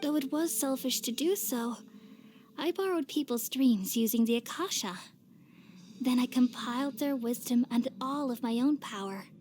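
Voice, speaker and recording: A woman voicing a gentle, wise and sorrowful ancient goddess in an anime fantasy game, speaking in formal, measured phrases. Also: high voice, a little hiss or hum, natural voice. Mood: solemn, regretful.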